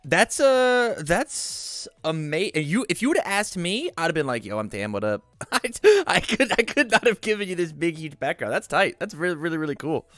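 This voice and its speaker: A man hosting casual game commentary in an informal, animated way, often repeating phrases for emphasis. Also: sits high, moderate pace, hardly any pauses, no room sound, faint music behind. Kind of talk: casual podcast-like commentary chat.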